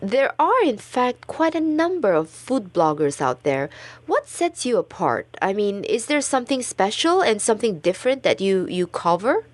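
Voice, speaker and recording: A woman's voice: mid-range voice, sing-song, normal speed, no echo. A woman hosting a radio interview in a studio, with a polished presenter delivery. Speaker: radio host.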